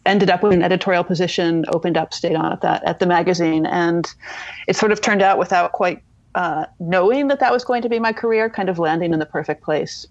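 A woman with a lowish voice, joining a podcast remotely and talking in a measured, reflective way. Her line sounds dull and lo-fi, and the sound is very dry, with no room around it. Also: no room sound. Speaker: guest editor. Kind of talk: podcast interview. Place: remote location.

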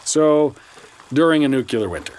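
An actor playing a skeptical, sarcastic therapist and bitter grown son in a science fiction audio drama, with dry, reluctant delivery. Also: male voice, a little high, expressive, normal speed, darkish tone, natural voice, low-quality recording. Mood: curious, wary.

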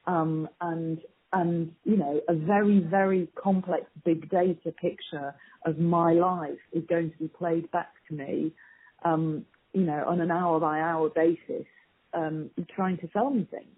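Husky woman on a muffled, lo-fi recording. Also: fairly low pitch, steady tone, measured pace. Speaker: agency strategy executive being interviewed. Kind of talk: conversational interview answers. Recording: poor, muffled phone-like lo-fi sound.

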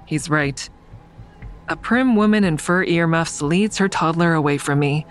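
Sardonic female lead, low voice, dry, guarded, audio drama, music underneath. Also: measured pace. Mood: tense narration. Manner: dry, defiant, wry. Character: guarded, sardonic woman, crisis counselor with secret kills. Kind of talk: audio drama dialogue and first-person narration.

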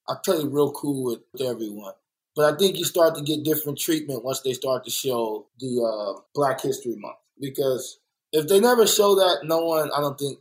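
A man's voice: mid-range voice, low-quality recording. A young adult man from Los Angeles, speaking earnestly and reflectively in an interview, repeating points for emphasis. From born in Kansas City, raised in South Central Los Angeles. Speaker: muralist and guest. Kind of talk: candid conversational interview.

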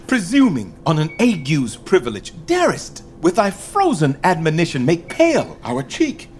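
Man acting haughty young king, swooping pitch, slow, imperious, classical verse. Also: soft background music. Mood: furious. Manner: haughty, lively verse delivery. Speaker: actor.

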